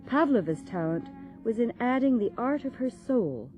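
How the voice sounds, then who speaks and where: A woman giving measured, formal scripted narration for a documentary. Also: a little high, big pitch swings, mellow. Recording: dull lo-fi sound with faint music.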